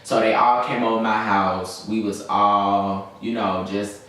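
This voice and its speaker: A man's voice: lowish voice, little pitch movement, a little slow, a bit bright. A young man with a Southern US accent chats casually to camera as a vlogger, in a slangy, rambling, easily distracted way. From Birmingham, Alabama, United States. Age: young adult. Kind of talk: casual story-time chat to camera. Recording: very echoey room, distant mic, faint noise.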